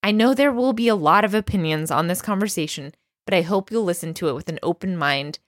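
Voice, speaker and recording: A woman's voice: on the low side, lively intonation, quick pace, dry recording, clean studio sound. A woman hosting a podcast, speaking as an interviewer and presenter. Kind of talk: scripted introduction, then casual interview chat.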